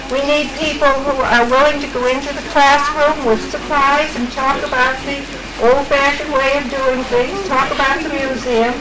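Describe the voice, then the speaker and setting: A woman's voice: high voice, fairly even intonation, moderate pace, hardly any pauses, over a noisy crowd. A woman volunteer organizer making informal, encouraging announcements and appealing for helpers at a meeting. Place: meeting room in a library. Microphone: distant room microphone. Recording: noisy echoey meeting room, distant microphone.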